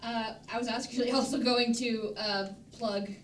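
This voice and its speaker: A woman's voice: steady tone, normal speed, a crowd in the background. A woman chatting casually as a panelist on a group stream. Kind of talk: casual chat. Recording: roomy, distant mic, faint rumbling noise.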